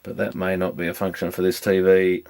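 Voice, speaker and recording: A man's voice: low-pitched, monotone, a little fast, a little mellow. Man thinking aloud in casual repair commentary. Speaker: electronics repairer presenting a video.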